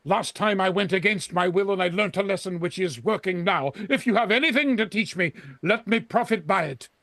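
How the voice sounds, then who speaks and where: An actor playing a frightened, repentant old miser in a vintage-style radio drama, pleading and stammering in a quavering voice. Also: male voice, high-pitched, a little fast, fairly bright tone, no echo, studio quality. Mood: nervous, humbled.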